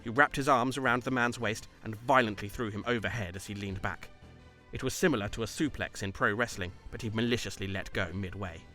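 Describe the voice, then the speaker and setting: A man's voice: on the low side, brisk, bright, forceful tone, soft background music. A man reading scripted story narration in an audiobook style, as the narrator of a fan dub.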